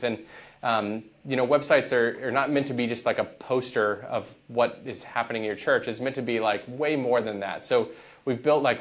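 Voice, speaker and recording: An American man speaking as a guest on an interview podcast. His talk is easygoing and conversational, with his thoughts strung together by "like" and "um". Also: medium pitch. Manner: relaxed, conversational, frequent fillers. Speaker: guest, company founder.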